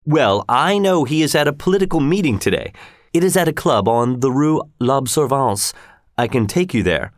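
A man playing a gallant, quick-thinking French gentleman, assured and protective, in an audiobook story. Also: medium pitch, animated, no echo, clean studio sound, close to an everyday voice.

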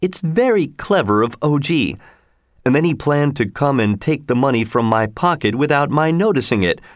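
A pompous, irritable opera manager, acted out by a male narrator. He talks with lively swings and accusing emphasis in a dry storybook reading. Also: medium pitch, normal speed, no echo, lightly acted. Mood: puzzled, defensive.

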